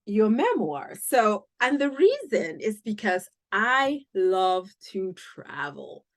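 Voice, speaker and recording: Lively, slow-talking woman podcast host. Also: mid-range voice. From United States. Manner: enthusiastic, lively, drawn-out phrasing. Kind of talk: podcast interview.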